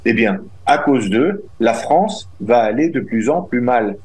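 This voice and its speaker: A man's voice: medium pitch, lively intonation, a little slow, a little mellow. A middle-aged man answering interview questions as a guest, emphatic and indignant, often restarting his phrases. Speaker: guest, community representative.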